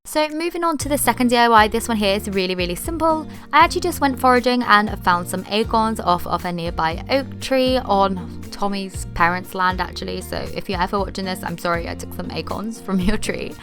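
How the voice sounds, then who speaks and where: A woman's voice: medium pitch, fairly quick, hardly any pauses, fairly bright tone, faint music behind. A young woman vlogging a DIY tutorial in a casual, upbeat way, full of "like" fillers, while she is losing her voice. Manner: chatty, upbeat, lots of "like" fillers.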